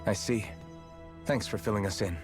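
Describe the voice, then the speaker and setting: An actor voicing a measured, level-headed male party member in a game. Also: lowish voice, close to an everyday voice. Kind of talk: video game voice acting. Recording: game audio with music.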